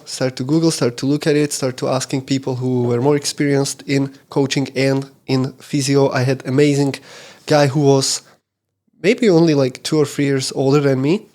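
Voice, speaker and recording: Male host; easygoing storyteller, halting phrasing, mid-range voice. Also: medium pitch. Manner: relaxed, storytelling, a little hesitant. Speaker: podcast host, coach. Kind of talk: casual conversational interview.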